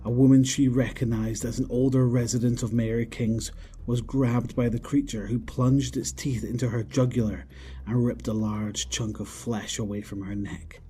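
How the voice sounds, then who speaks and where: A man's voice: mid-range voice, level tone, moderate pace, dark tone, faint music behind. A man reading scripted narration for a horror audio drama.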